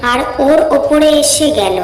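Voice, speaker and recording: High-pitched woman narrating horror, heavy reverb. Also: lively intonation, unhurried, heavy background noise. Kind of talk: scripted horror story narration. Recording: reverberant echo, music behind.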